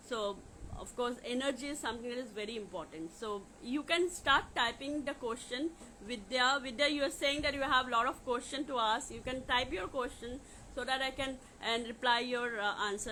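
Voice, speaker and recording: Casting: woman with Indian accent, slightly high voice, live-streaming coach, halting and repetitive informal talk, faint noise behind. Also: pushed and bright, poor audio. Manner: repetitive, halting with frequent "you know". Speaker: life coach hosting a live question session. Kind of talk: informal livestream talk to viewers.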